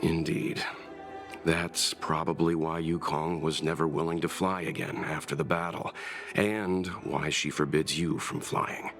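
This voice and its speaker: An actor voicing a composed, courteous scholarly advisor in a video game, measured, formal and tactful. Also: male voice, extremely low pitch, level tone, a bit bright, faint music behind, exaggerated voice. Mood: calm, considered.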